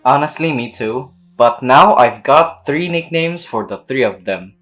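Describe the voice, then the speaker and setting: A woman's voice: a bass voice, lively intonation, measured pace, a bit bright. A woman streaming a game with casual, slangy, teasing commentary, reading dialogue aloud. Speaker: gameplay streamer. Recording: game music behind the voice.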